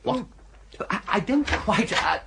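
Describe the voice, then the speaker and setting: An actor playing an amiable, flustered young upper-class English gentleman who stammers in period slang, in a radio comedy drama. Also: sits high, expressive, slow, creaky, background noise, exaggerated voice. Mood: flustered, polite. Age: young adult.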